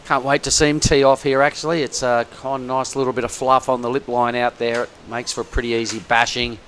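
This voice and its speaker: A man giving chatty, excitable live commentary on a surf contest. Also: mid-range voice, a little fast, a little hiss or hum.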